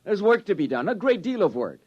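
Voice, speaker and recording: Casting: grandiose, placating senior scientist, highish animated voice, pompous old-time radio delivery. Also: male voice, fairly quick, slightly rough, lo-fi sound, full character voice. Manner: pompous, declamatory. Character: self-satisfied male senior scientist, grandiose, placating glory-seeker. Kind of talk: 1950s radio drama acting. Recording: vintage radio recording.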